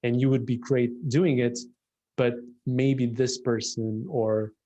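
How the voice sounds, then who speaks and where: A man's voice: medium pitch, steady tone, takes it slowly, mellow, dull audio. A man from Portugal with a Portuguese accent, a designer talking reflectively in a casual conversation with a colleague, often restarting his sentences.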